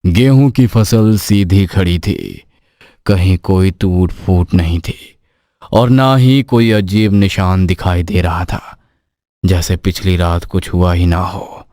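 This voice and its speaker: A man's voice: low voice, slow pace, no room sound, studio quality. A man narrating a scripted horror story in a dramatic, suspenseful manner. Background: loud music bed.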